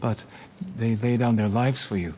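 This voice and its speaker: An actor playing a dutiful young samurai son, polite and earnest, in a video game drama. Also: male voice, mid-range voice, moderate pace, darkish tone, a little hiss or hum, natural voice. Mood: respectful.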